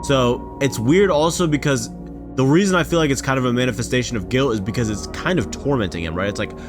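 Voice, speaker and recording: Casual male reaction commentator, animated and rambling, speaking over prominent background music. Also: mid-range voice, quick pace. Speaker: reaction video creator. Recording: loud music under the voice. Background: background music from games.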